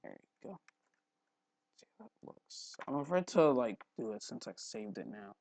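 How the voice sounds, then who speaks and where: A man hosting a casual, unscripted screen tutorial, haltingly repeating words and muttering to himself, recorded on a headphone mic at his neck. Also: medium pitch, big pitch swings, a little mellow, dry recording. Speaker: tutorial host. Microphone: headphone mic held at the neck.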